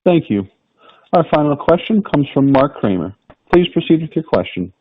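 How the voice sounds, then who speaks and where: A man's voice: a little high, big pitch swings, moderate pace, husky, no room sound. A man working as a conference operator, making formulaic scripted announcements. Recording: dull, band-limited phone audio.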